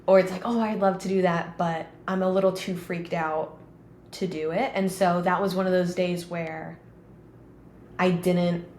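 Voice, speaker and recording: A woman's voice: lowish voice, fairly even intonation, unhurried, a little mellow. Chatty woman in an echoey room. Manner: chatty storyteller, many "like" fillers. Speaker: podcast co-host. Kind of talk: casual conversation. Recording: roomy with faint background noise.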